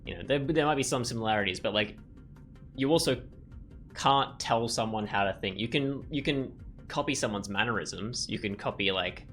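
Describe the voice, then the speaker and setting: A man's voice: on the low side, normal speed, a bit bright. A man giving casual let's play commentary, thinking aloud in a rambling, musing way. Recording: faint game music behind.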